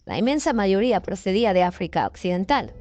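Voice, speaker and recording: Lady doing documentary voiceover, mid pitch, steady, faint music under it. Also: quick pace, highs cut off. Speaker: documentary narrator. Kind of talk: scripted news narration.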